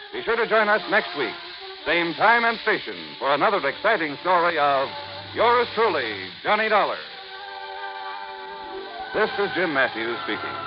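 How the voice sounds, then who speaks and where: A male radio announcer reading the closing credits with a crisp, booming delivery. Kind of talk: formal broadcast announcing. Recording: lo-fi old radio broadcast with music.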